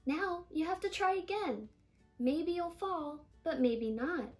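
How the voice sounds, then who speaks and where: An upbeat storybook mom, voiced by a woman reading aloud, speaks in a high, emphatic voice. She is encouraging and coaxing, and faint music sits behind her. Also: lively intonation, slow, darkish tone, heavily acted.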